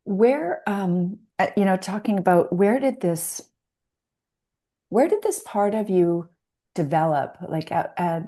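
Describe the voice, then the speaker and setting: A woman hosting an interview in a middle-pitched voice. She reflects her guest's words back thoughtfully and affirmingly, asking open questions as a coach. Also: lively intonation, slow, dark tone. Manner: thoughtful, affirming, frequent listener sounds. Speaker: podcast host, coach. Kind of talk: conversational interview.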